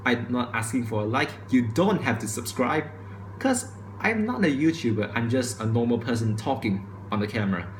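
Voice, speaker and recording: A man's voice: medium pitch, lively intonation, a little hiss or hum. Stuttering young man in echoey room. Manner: stutters and restarts, says he speaks too quickly. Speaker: student vlogger. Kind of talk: casual opinion monologue to camera. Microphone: camera microphone. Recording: echoey room with faint ambience.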